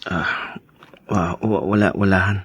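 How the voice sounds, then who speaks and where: Husky, stammering young husband. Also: male voice, sits low, steady tone, unhurried, a bit bright, full character voice. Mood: nervous. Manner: stammering, hesitant. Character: guilty, nervous young husband confessing. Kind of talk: Tagalog radio drama acting.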